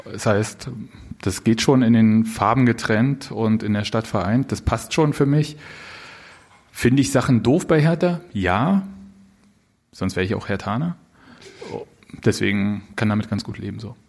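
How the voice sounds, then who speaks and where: A man's voice: lowish voice, measured pace, pauses often, darkish tone. A man from Jena, a guest on a live stage panel, talking with dry, wry humor. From Jena, eastern Germany. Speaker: guest writer. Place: theater stage.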